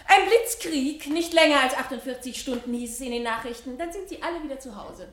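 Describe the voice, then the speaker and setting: An actress playing a frivolous, complacent society wife in a 1970 radio drama, with an airy, affected manner. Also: female voice, high-pitched, animated, brisk, fairly bright tone. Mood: breezy, complacent. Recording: roomy vintage radio drama recording.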